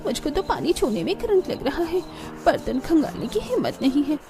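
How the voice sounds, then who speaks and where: A woman's voice: high-pitched, big pitch swings, moderate pace. A woman voicing a shivering, grumbling young sister-in-law from a poor cartoon household, in a plaintive manner.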